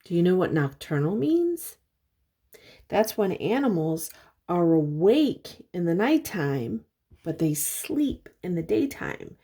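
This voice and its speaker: An older woman reading a picture book aloud to young children, pausing to explain things and ask simple questions. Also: on the low side, slightly rough. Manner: expressive, simple explanations and questions for little listeners.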